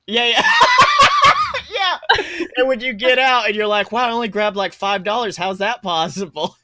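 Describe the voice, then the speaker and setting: A man's voice: sits high, lively intonation, moderate pace, very noisy. A man co-hosting a podcast, bantering casually in an irreverent, slangy, foul-mouthed way. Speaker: podcast co-host.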